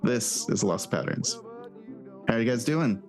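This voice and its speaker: A man hosting a casual podcast interview, talking in a halting way with lots of fillers. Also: medium pitch, lively intonation, soft background music. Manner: halting, filler-heavy speech. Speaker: podcast host.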